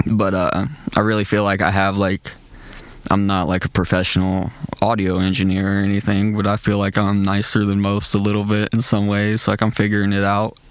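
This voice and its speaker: A young man with a nasal, deep voice talks in a loose, slangy way on a podcast. His pitch stays fairly flat, and his tone is smooth and clear. The sound is dry and close. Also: fairly quick, no echo. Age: young adult. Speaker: rapper and producer guest. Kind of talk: casual interview chat.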